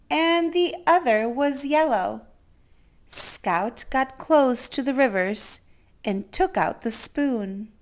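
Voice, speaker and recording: A woman with a fairly high voice reads a children's story aloud. Her delivery is theatrical and very animated in pitch. She goes very slowly, stretching important words for young listeners. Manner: theatrical, with gasps and drawn-out emphasis. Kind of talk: expressive picture-book read-aloud.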